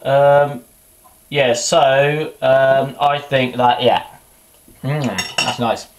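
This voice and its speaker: A man's voice: medium pitch, fairly even intonation, very slow, background noise. A man, chatting casually about boxing while cooking in his small kitchen, rambling and muttering to himself, recorded on a camera's built-in mic. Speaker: boxing vlogger. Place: small home kitchen indoors.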